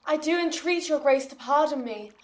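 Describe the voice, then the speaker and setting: An actress playing a defiant young maiden in a Shakespearean audio drama, speaking earnestly and formally. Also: female voice, high-pitched, normal speed, close to an everyday voice. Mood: earnest pleading. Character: defiant young maiden pleading for her love. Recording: distant from the microphone.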